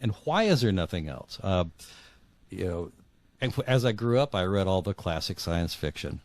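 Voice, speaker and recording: A middle-aged man with a low voice, recorded dry and close. He talks as an interview guest reflecting on his own life, halting and repeating words for emphasis. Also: very animated, darkish tone, no echo. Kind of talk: reflective personal storytelling in an interview.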